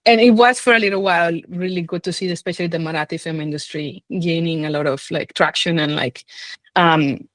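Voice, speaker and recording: A woman's voice: lowish voice, fairly even intonation, fairly quick, a bit bright, no echo. A woman speaking as a scholar being interviewed, thinking aloud in an informal, hesitant, conversational way. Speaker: film scholar and guest.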